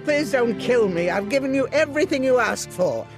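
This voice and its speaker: An actor playing a frightened, grateful apothecary in a video game. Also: male voice, very high voice, expressive, normal speed, music in the background. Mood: terrified pleading.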